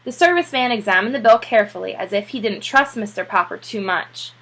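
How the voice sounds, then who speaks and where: A woman narrating a children's storybook aloud like a teacher, with expressive delivery. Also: mid-range voice, fast talker, low-quality recording.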